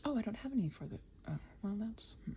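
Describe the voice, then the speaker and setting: Mellow, half-whispering woman muttering to herself. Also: mid-range voice, expressive, fast. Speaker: host of a body-doubling ramble. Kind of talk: rambling ASMR mutter while working. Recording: faint noise, keyboard typing. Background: computer keyboard and typing.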